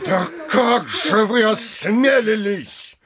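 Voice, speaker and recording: A pompous middle-aged official in a theatrical comedy, blustering about his own importance. His voice is fairly high and gravelly, swinging widely in pitch. A crowd murmurs around him. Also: big pitch swings, slow pace, exaggerated voice. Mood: indignant. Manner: pompous, swaggering. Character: self-important, blustering middle-aged town mayor dreaming of rank. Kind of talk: theatrical audio play acting.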